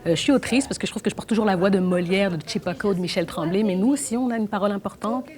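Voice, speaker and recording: A young adult woman from Belgium, talking fast with many restarts in a documentary interview. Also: mid-range voice, darkish tone. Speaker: actress and playwright.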